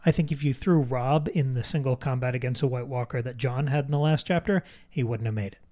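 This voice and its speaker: A man's voice: medium pitch, brisk, warm tone. A man co-hosting a conversational book discussion, speaking in a reflective, articulate way. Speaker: podcast co-host.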